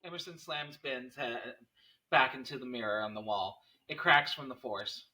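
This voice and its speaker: A man's voice: medium pitch, normal speed, bright, forceful tone, far from the mic. A man reading stage directions as scripted narration at a screenplay table read.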